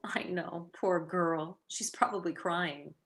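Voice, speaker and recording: A woman's voice: fairly low pitch, fairly even intonation, slow pace, fairly bright tone. A woman playing a clever, teasing young society wife with playful, wry delivery in a radio play.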